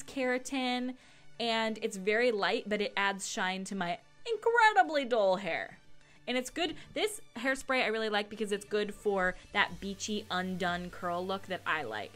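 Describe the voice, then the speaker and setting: A woman's voice: medium pitch, fairly bright tone. Chatty woman over music. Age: young adult. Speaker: beauty vlogger. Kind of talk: casual tutorial voice-over. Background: background music.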